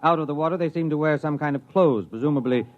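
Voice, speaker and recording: An actor playing a commanding starship commander in 1950s radio drama style, speaking with deliberate military formality. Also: male voice, fairly high pitch, lightly acted. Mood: measured, grave. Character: commanding male starship commander, measured and authoritative. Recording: muffled vintage 1950s radio recording.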